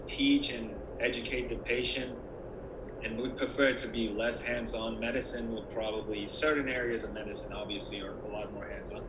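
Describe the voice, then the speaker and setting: A man originally from Iran, raised later in the United States, speaks as a dentist giving an informal, explanatory online presentation to students, with hesitant pauses. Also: mid-range voice, steady tone. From born and raised in Iran until age ten, then the United States. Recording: echoey room with clear background noise.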